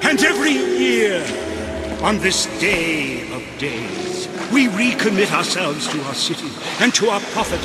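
An actor playing an older, zealous cult preacher in a video game, declaiming theatrically as in a sermon. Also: male voice, sits high, sing-song, measured pace, loud music behind the voice. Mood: solemn fervour. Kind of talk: fervent sermon and baptism rite.

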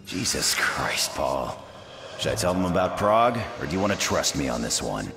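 A man playing a steady, gruff special forces captain with an authoritative manner in a video game. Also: very deep voice, normal speed, over music, exaggerated voice. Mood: intense, coaxing. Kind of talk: dramatic video game acting.

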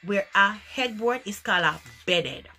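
A woman from Jamaica with a Jamaican accent, chatting casually to the camera in an animated, emphatic way and slipping into patois. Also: mid-range voice, measured pace. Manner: animated, emphatic, repeats words for effect, slips into patois. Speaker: vlogger. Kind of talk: casual chat to camera, explaining dialect words. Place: small furnished room indoors.

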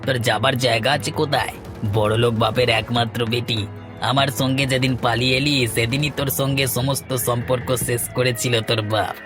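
A man's voice: mid-range voice, level tone, fairly quick, lightly acted. A man playing an abusive drunken husband in a melodramatic cartoon, scolding coarsely and aggressively. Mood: belligerent. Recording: music behind the voice.

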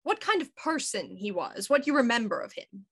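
An actress playing a courteous, probing police inspector, asking formal questions in a measured way in an audio drama. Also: female voice, fairly high pitch, very animated, fairly bright tone, slightly rough, heavily acted.

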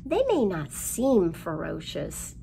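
A middle-aged woman leads a children's storytime in an expressive, sing-song way. She takes her time over each phrase, and her mid-pitched voice has a gravelly fry. Some background noise of the room sits behind her. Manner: slow, expressive, sing-song delivery addressed to small children. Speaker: children's library storytime host. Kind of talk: storytime rhymes and picture book reading for young children. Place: indoors in a library room.

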